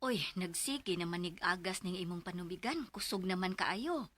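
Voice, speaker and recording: A woman playing a brisk midwife. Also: on the low side, lively intonation, lightly acted. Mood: matter-of-fact. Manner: brisk, matter-of-fact instructions. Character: calm businesslike midwife at a clinic.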